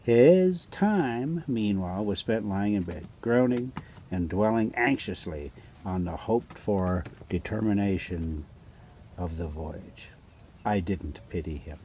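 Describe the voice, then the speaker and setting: A man reading a story aloud casually and haltingly, stumbling over foreign names. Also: lowish voice, animated, dark tone. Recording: noticeable background noise.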